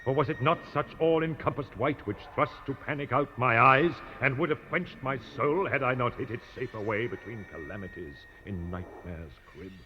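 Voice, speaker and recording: An actor playing a blinded, obsessed spaceship captain, brooding and vengeful in a grandiose, incantatory radio-drama soliloquy. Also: male voice, medium pitch, normal speed, hardly any pauses, natural voice. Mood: defiant, vengeful. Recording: dull 1960s radio recording with hiss.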